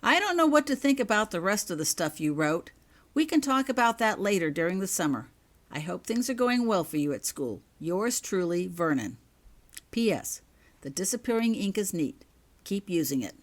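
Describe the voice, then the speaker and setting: A woman's voice: mid-range voice, very animated, normal speed. A woman voicing a reserved, friendly schoolboy's letter in plain short sentences for a story reading.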